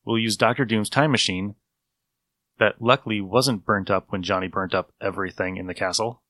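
A male host with a fairly low, forceful bright voice chats casually and wryly as he recaps a story. The sound is close and dry. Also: steady tone, moderate pace, no echo. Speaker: podcast host.

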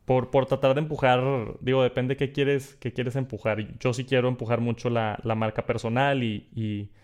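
A man's voice: medium pitch, fairly even intonation, normal speed, darkish tone. A man with a northern Mexican accent hosting a podcast, chatting casually and often repeating words as he restarts. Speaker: podcast host.

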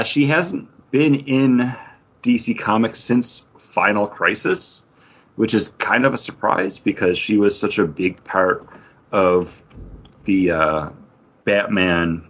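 A man's voice: low voice, a bit bright, lo-fi sound. A man hosting a podcast, talking casually about comics and beer in a conversational way. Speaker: podcast host.

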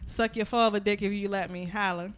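A woman's voice: medium pitch, steady tone, a little fast. Brash, profane woman podcast host. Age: 20s. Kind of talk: casual chat.

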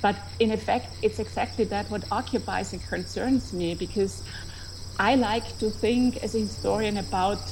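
A woman's voice: mid-range voice, moderate pace, noisy background. A woman from Austria with an Austrian accent, a native German speaker, explaining her research as a historian in a reflective, searching way.

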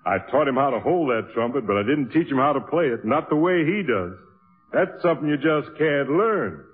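An actor playing a proud mentor musician addressing an audience in a 1950s radio drama. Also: male voice, mid-range voice, animated, normal speed, close to an everyday voice. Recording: muffled 1950s radio with crowd behind.

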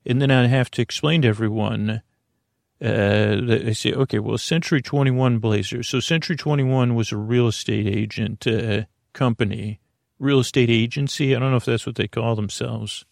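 A gravelly, rambling male podcast host. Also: fairly even intonation, moderate pace, a little mellow, dry recording, studio quality. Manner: meandering, full of hesitations and self-corrections; low-key, easygoing. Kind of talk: rambling bedtime podcast monologue.

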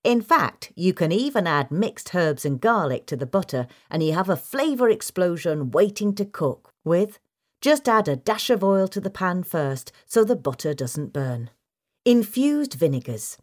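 A woman's voice: lowish voice, sing-song, measured pace, darkish tone. A woman reading a scripted instructional piece as a narrator. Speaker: narrator reading a gardening article.

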